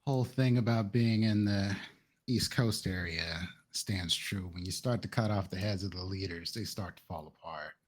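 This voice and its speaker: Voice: sits low, normal speed. A player voicing a blunt, jaded vampire in a tabletop roleplay, with a casual, sardonic way of talking.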